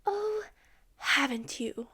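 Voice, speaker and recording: A woman's voice: sits high, big pitch swings, extremely slow, sharp tone, pitched above the performer's own voice, exaggerated voice. Sneering rogue policeman, as a woman reads him. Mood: menacing. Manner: sneering, whining. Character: menacing rogue male policeman, bitter and self-pitying.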